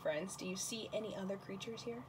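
A woman's voice: medium pitch, fairly quick, slight background noise. A woman reading a picture book aloud to young children as their teacher, expressively, often putting questions straight to them.

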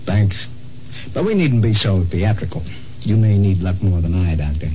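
An unsentimental time traveler in vintage radio drama. His pitch swings widely, and the sound is dull with faint noise. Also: animated, normal speed. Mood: curt, resigned. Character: curt, unsentimental dying man turned bewildered time traveler. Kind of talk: 1950s American radio drama acting. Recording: muffled, noisy old radio recording.

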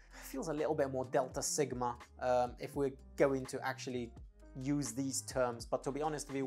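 A man's voice: medium pitch, darkish tone. A man in his thirties giving a conversational, opinionated product review to camera, speaking emphatically into a clip-on mic. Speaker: audio gear reviewer. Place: dim home studio room, indoors. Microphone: clip-on lavalier mic on the shirt. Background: faint background music.

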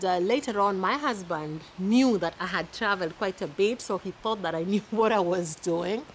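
A woman's voice: mid-range voice, slight background noise, poor audio. Chatty Kenyan woman vlogging outdoors. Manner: chatty, animated, laughs often, with repeated restarts. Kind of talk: casual advice vlog. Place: outdoors in a green garden. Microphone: camera at arm's length.

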